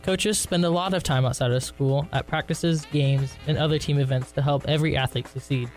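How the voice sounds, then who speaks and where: A man's voice: on the high side, steady tone, brisk, darkish tone. A young man reading a scripted public service message, with music behind him. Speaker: student advisory team member.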